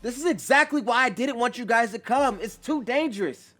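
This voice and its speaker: A man voicing an anxious, protective boy hero in a cartoon adventure, yelling with urgency. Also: very high voice, brisk, soft background music, pitched above the performer's own voice. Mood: frustrated, worried.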